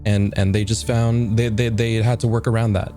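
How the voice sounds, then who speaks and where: A man's voice: lowish voice, level tone, moderate pace, warm tone, faint music behind. A man improvising reflective character talk as a player in a tabletop game, hesitant and earnest. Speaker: tabletop role-playing player.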